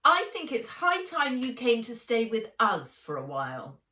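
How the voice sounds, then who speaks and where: Woman acting a high-pitched, snooty, overbearing aunt. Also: wildly swooping pitch, unhurried, sharp tone, exaggerated voice. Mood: imperious. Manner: pointed, condescending, insistent. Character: bossy, snooty middle-aged aunt, cold and overbearing. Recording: roomy echo, distant microphone.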